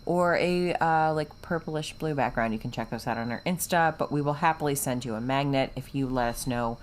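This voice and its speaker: A woman's voice: extremely low pitch, brisk, slight background noise. A woman co-hosting a podcast in casual chat, informal and encouraging toward her co-host and listeners.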